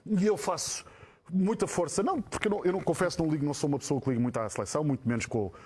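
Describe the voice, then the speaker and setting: A man's voice: a little high, racing, darkish tone, breathy edge. A man with a European Portuguese accent giving his opinion in a football panel debate, emphatic and repeating phrases for stress. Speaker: football pundit.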